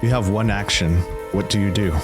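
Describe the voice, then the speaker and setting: A man with a very low voice runs a tabletop roleplaying game as its game master. He is upbeat and affirming as he narrates, and music plays clearly behind him. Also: steady tone, fairly quick. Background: clearly audible music.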